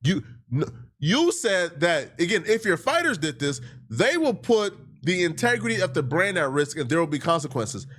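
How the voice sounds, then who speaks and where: A man's voice: a little high, big pitch swings, moderate pace, fairly bright tone. A man giving casual commentary, making his points through pointed rhetorical questions.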